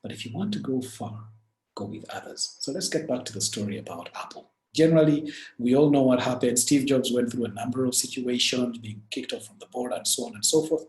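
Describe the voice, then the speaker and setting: A man with a Ugandan accent, from Uganda, giving a webinar talk as the speaker and walking through business stories in an earnest, lively way. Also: medium pitch. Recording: echoey room.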